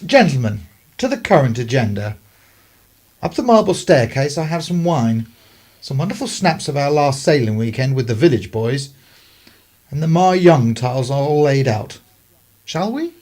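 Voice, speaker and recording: A man's voice: mid-range voice, animated, normal speed, pauses often, a little mellow, close to an everyday voice. A man playing a genteel, deliberating host of the 1930s in a radio play. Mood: brisk, genial.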